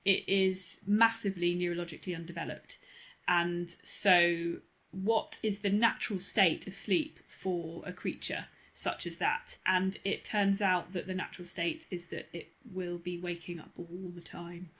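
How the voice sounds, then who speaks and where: A woman's voice: fairly low pitch, level tone, unhurried, fairly bright tone, poor audio. A woman with a British accent co-hosting a podcast in a casual, chatty style with dry, wry humour. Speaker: podcast co-host. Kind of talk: casual chat.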